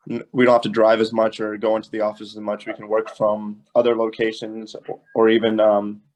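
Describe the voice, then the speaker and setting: A man in his twenties speaking calmly and measuredly on a video call. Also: fairly low pitch, brisk, low-quality recording. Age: 20s. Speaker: young adult panelist. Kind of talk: casual chat.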